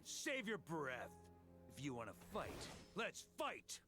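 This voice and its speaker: A confident, rugged knight commander from a fantasy game, with a fairly high male voice that moves animatedly in pitch. His talk is wry, casual and rallying, under very loud music. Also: wildly swooping pitch, slow pace, heavily acted. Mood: wry, defiant. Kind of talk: dramatic fantasy game acting.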